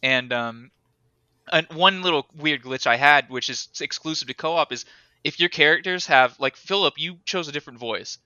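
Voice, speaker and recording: A man co-hosting a podcast in casual chat, rambling and animated, laughing often. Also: fairly high pitch, normal speed, clear, resonant tone, no room sound, dull audio. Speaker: podcast co-host.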